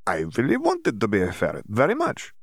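A man's voice: mid-range voice, wildly swooping pitch, a little fast, breathy edge, dry recording, pitched above the performer's own voice, exaggerated voice. A reckless, carefree young wizard, as a man puts him on in a storybook reading. He shrugs off danger in a nonchalant tone and uses broken, non-native grammar. The sound is dry and close.

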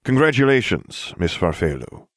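Brief: sly elderly headmaster, put on by a man; breezy and polite, animated. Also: fairly low pitch, normal speed, no room sound, studio quality. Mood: cheerful. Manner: cheerful, genteel and unflappable. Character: twinkly, scheming old male headmaster wizard.

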